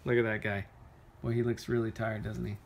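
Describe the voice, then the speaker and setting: A man's voice: fairly low pitch, level tone, a little fast, gravelly, slight background noise. A man reading aloud, chatting casually with a child about a picture book. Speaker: read-aloud storyteller.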